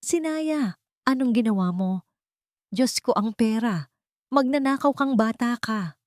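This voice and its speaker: A woman's voice: on the high side, expressive, a little slow, a little mellow, dry recording, clean studio sound, voice pushed up. A woman voicing a scheming stepmother who plays the tearful, distraught victim in an audio story. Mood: shrill, accusing. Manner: melodramatic, accusing, tearful.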